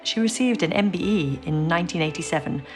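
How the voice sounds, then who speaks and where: An actress playing a measured, modest female wartime codebreaker in an audio drama. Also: lowish voice, over music, lightly acted. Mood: reflective.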